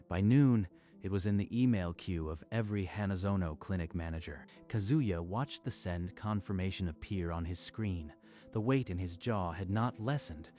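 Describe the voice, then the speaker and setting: A male narrator with a very deep voice telling a dramatized story at a steady, even pace. Soft background music sits under his narration.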